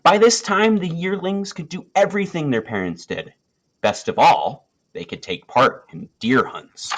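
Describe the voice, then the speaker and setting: A man reading a children's nature story aloud, with expressive storytelling delivery and deliberate phrasing. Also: on the high side. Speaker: storybook reader.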